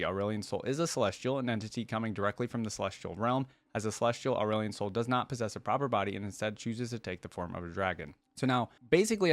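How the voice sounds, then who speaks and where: A man's voice: lowish voice, no room sound. A man hosting a gaming reaction video, talking informally and thinking aloud as he comments and reads along.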